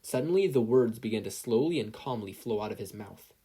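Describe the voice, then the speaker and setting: A narrator reading a scripted fan fiction story in an expressive way. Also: mid-range voice, a little fast, breathy edge.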